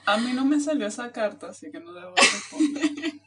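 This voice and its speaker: A woman's voice: fairly high pitch, lively intonation, fairly quick, recorded from a distance, a crowd in the background. A young woman in a casual chat, teasing her friend and laughing along.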